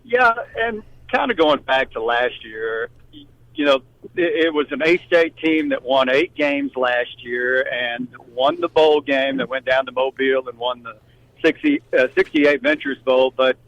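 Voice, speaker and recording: A man's voice: mid-range voice, lively intonation, a little slow, fairly bright tone, no room sound. A man who works as a sports announcer, giving conversational analysis as a radio guest. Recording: dry, slightly dull remote-guest audio.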